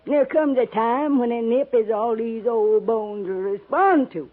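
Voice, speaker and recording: A woman's voice: on the high side, a little slow, phone-quality sound. An actress playing a wily, sweet-talking old American frontier woman with a cracked voice and a folksy drawl, in a 1950s radio drama. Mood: sly, wheedling. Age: older. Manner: folksy rustic drawl, sly.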